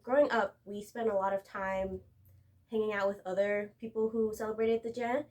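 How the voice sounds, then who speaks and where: Young new woman chatting easy, mid pitch, says uh and like a lot. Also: moderate pace. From New York City. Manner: relaxed, uses fillers like "uh" and "like". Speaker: guest. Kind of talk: casual self-introduction and chat.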